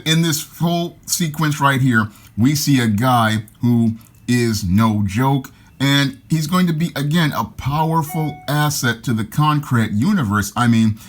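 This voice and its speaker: A man giving an enthusiastic, casual comic book review, often repeating himself as he talks. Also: medium pitch. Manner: enthusiastic, repeats "again" and "your".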